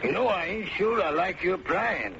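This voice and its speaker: Creaky old backwoods farmer. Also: male voice, high-pitched, sing-song, moderate pace, full character voice. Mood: touchy, guarded. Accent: rural American. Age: older. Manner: folksy rustic drawl. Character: old backwoods farmer, talkative, folksy, touchy. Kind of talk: old-time radio drama acting. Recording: muffled, noisy old radio recording.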